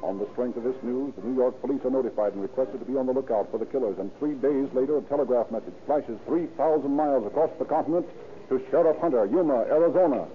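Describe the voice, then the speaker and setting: A man's voice: medium pitch, a little hiss or hum. Dramatic old-time radio narrator, male. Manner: dramatic, measured, formal. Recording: tinny old radio recording.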